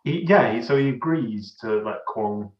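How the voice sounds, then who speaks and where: A man co-hosting a podcast, casually discussing a film in a halting, wry way. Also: medium pitch. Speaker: podcast co-host. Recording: slightly dull, echoey room.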